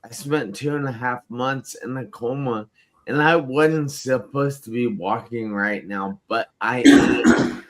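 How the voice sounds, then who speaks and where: A man hosting a live online talk show, speaking slowly and emphatically and repeating words for effect. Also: mid-range voice. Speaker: host. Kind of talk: live-stream show host.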